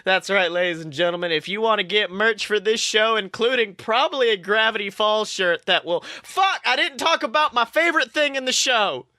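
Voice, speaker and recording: A man co-hosting a podcast, chatting casually and excitably as a fan, swearing freely. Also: high voice, normal speed, few pauses, no echo, clean studio sound. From southern United States. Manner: informal, profane, excitable fan talk. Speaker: podcast co-host.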